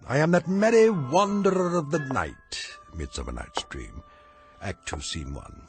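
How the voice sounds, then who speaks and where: Proud stuffy elizabethan bard for a radio play, really swoopy delivery, fancy old-timey wording. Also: male voice, fairly high pitch, very animated, measured pace, soft background music. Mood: lofty. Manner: formal, archaic, theatrical diction. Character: dignified, aggrieved Elizabethan playwright, proud and eloquent. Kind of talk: comedic radio drama acting.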